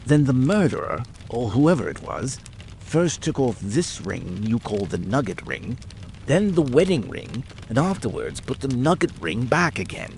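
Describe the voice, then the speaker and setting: A man's voice: medium pitch, very animated, measured pace, creaky, darkish tone, slight background noise. A man voicing a plain-spoken, puzzled country police sergeant in an audiobook drama, blunt and folksy. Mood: questioning.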